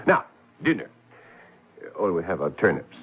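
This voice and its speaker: An actor in an old-time radio drama playing a good-natured, penniless French painter, expansive, hopeful and theatrical. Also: male voice, low voice, big pitch swings, moderate pace, a bit bright, slightly rough, heavily acted. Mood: genial, wry.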